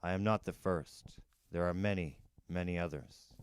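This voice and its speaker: Man voicing a low, solemn dying alien. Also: a little hiss or hum, natural voice. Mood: solemn warning. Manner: grave, short measured statements. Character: gentle dying male alien speaking telepathically, solemn.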